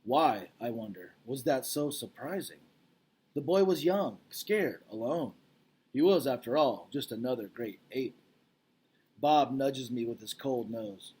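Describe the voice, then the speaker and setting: A man's voice: medium pitch, animated, a little slow, a little mellow, slightly rough. A man speaking as a teacher, casually recapping and reading a storybook aloud in an informal, explanatory way, checking in with listeners.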